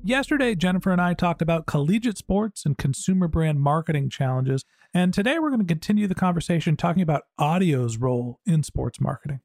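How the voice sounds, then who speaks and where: A man's voice: fairly high pitch, expressive, brisk, gravelly, few pauses, darkish tone, no room sound, clean studio sound, soft background music. A middle-aged man hosting a podcast interview in a chatty, enthusiastic, conversational way. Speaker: podcast host.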